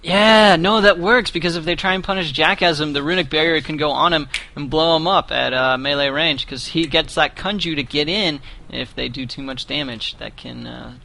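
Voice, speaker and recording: Bright-voiced young male game caster. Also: normal speed, gravelly, hardly any pauses. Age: 20s. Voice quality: higher voice. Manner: chatty, joking banter. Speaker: esports caster. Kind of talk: live game commentary.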